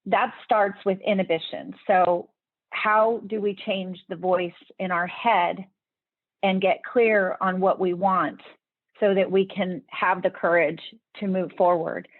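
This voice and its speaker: A woman's voice: fairly low pitch, fairly even intonation, slow, no echo. A woman answering interview questions in an earnest, explanatory way, often restarting her sentences. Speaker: podcast guest, leadership speaker. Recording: tinny phone-like remote line, dry.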